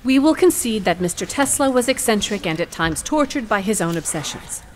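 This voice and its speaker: A woman's voice: mid-range voice, lively intonation, brisk, slight background noise, natural voice. An actress playing an earnest, formal female defense attorney, articulate and firm, in a courtroom audio drama. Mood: measured.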